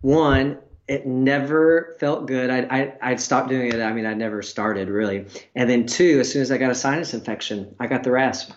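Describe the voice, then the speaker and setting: A man's voice: mid-range voice, fairly even intonation, moderate pace, few pauses, highs cut off. A man co-hosting a casual live stream, chatty and giggly, who likes to tell stories. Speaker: co-host.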